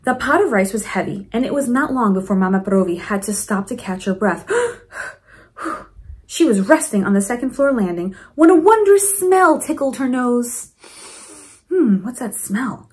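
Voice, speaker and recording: A woman reading a storybook aloud to children in an expressive, encouraging way, with teaching asides. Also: a little high, normal speed. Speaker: principal reading a picture book to children.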